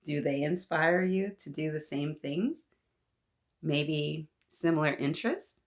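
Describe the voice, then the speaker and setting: A woman with a slightly low voice hosts a story time for little kids. She speaks like an encouraging teacher, putting questions to her young listeners and praising them as she reads aloud. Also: slow. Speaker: children's story-time host. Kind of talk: read-aloud for young children, talking to little viewers.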